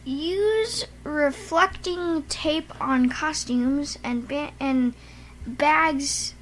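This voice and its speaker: Woman, very high voice, rambling halting chat, faint noise behind. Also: poor audio. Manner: rambling, halting, with frequent restarts. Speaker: podcast co-host. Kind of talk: casual chat.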